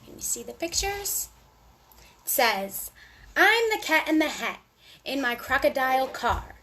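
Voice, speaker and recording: A woman hosting a storytime for young children, reading aloud in a sing-song, deliberate way with little asides to her listeners. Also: sits high, big pitch swings, pushed and bright.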